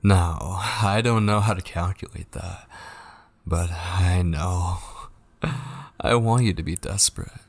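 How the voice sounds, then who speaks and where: Man playing a low, breathy, obsessive yandere kidnapper. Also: no echo, heavily acted. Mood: playfully cruel. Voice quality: breathy, husky, often dropping to whispers. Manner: slow, coaxing, teasing endearments. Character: obsessive possessive male yandere kidnapper, sweetly menacing and taunting. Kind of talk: ASMR roleplay monologue. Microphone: close studio microphone, dry.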